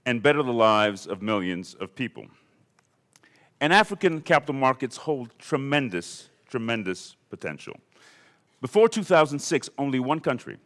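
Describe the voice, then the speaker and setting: A man from Ethiopia giving a prepared conference talk in a measured, deliberate way. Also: mid-range voice, fairly bright tone. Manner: measured, deliberate delivery with lists. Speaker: conference speaker. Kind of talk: prepared keynote speech. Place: conference hall.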